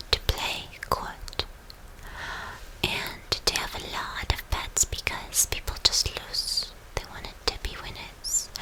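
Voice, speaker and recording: Woman acting as vain villain girlfriend, asmr roleplay, whispers real slow, obsessive and breathy. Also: pushed and bright, poor audio. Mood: smug, teasing. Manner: slow, breathy, lingering, repeating phrases.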